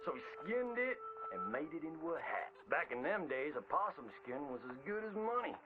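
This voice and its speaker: A man's voice: a little high, very animated, fairly quick, narrow, tinny audio, noisy background. An actor playing a folksy, theatrical frontier showman with a Southern American drawl, narrating boastful tall tales in a video game. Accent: American Southern, old frontier drawl. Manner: hammy, folksy, exaggerated storyteller delivery. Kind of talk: theatrical old-time storytelling narration. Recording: band-limited old-radio sound with prominent music. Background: music.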